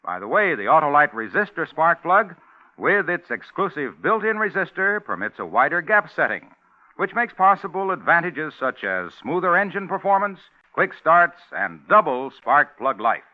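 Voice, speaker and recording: A man's voice: fairly high pitch, very animated, moderate pace. Male 1950s commercial announcer, swooping intonation, polished sales patter, narrow tinny vintage radio sound. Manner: emphatic, polished salesman delivery. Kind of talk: scripted 1950s radio ad pitch. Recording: thin, phone-like old radio recording.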